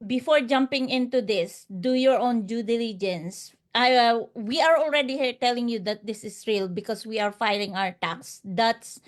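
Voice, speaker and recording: A woman with a fairly high voice speaks as a guest promoting an online business. English is not her first language, and she talks off the cuff in an earnest, motivational way, circling back over phrases for emphasis. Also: moderate pace, few pauses. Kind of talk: unscripted motivational testimonial.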